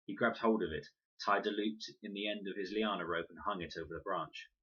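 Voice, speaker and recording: A man's voice: deep voice, fairly even intonation, fairly quick, pushed and bright, husky, lo-fi sound. A man reading a children's adventure novel aloud as scripted storybook narration. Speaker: audiobook reader.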